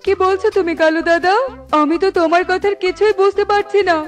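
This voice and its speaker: A woman's voice: extremely high pitch, fairly even intonation, a little fast, soft background music, pitched above the performer's own voice. A woman voicing a gullible young male bird in a cartoon, naive and eager in manner. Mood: puzzled, eager. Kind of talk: cartoon character voice acting.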